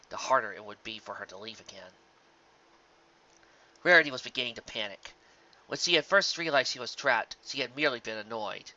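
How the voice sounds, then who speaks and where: An audiobook narrator with a bright voice and animated intonation. The scripted story is told in an expressive, dramatic way. The recording sounds slightly dull. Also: medium pitch.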